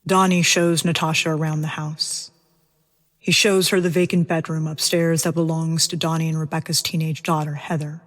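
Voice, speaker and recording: A woman's voice: deep voice, level tone, moderate pace. A woman reading scripted narration for an audio drama in a detached, factual manner.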